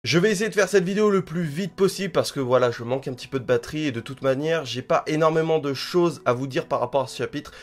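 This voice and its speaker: A man's voice: a little high, fast talker, hardly any pauses. A man with a stuffy head cold giving a casual spoken manga chapter review, rambling and thinking aloud as he hedges.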